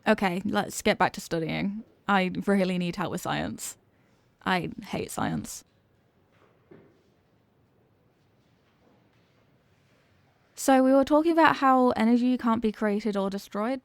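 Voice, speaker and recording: A woman's voice: medium pitch, moderate pace, creaky, dry recording, close to an everyday voice. A woman playing an evasive, flustered popular cheerleader being tutored, in an acted ASMR roleplay with a hesitant, defensive delivery. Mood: casual, friendly. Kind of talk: ASMR roleplay, acted one-sided conversation.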